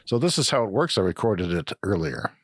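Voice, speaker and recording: A middle-aged man with a flu-raspy voice, co-hosting a casual podcast chat and rambling through explanations. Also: sits low, sing-song, brisk, no room sound, clean studio sound. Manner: rambling, self-correcting explanations. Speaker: podcast co-host.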